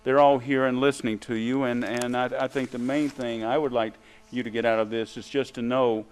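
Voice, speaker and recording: A man interviewing someone conversationally at a live event, in a halting, supportive way. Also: mid-range voice, steady tone, slight background noise.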